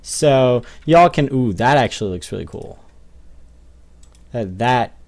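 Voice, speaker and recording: A young man hosts a gaming video in a casual, conversational style. His voice sits at a middle pitch, and he comments off the cuff on what he is looking at in the game. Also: animated, takes it slowly, darkish tone.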